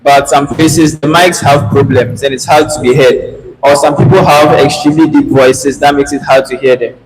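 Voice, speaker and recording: A man's voice: mid-range voice, fairly even intonation, moderate pace, over music, rough recording. A man, a student politely asking questions in a workshop. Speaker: student participant. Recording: clear background noise.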